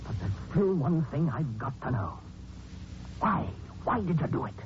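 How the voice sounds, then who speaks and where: A man's voice: a little high, big pitch swings, measured pace. An actor playing a shrewd, wary male newspaper reporter, accusing and insistent, in 1940s radio drama style. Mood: probing. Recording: dull hissy 1940s radio recording.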